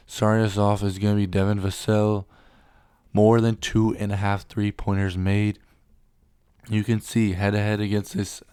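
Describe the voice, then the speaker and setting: A man's voice: fairly low pitch, darkish tone, clear, resonant tone, dry recording, studio quality. A young man getting over a cold, casually running through sports betting picks and reading stats off the screen with a few ums.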